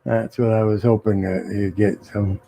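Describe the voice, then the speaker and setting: A man's voice: fairly low pitch, little pitch movement, moderate pace, creaky, warm tone, rough recording. A man hosting a late-night online panel stream in an easygoing, folksy way. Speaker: host of a live panel stream. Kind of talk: casual live-stream chat.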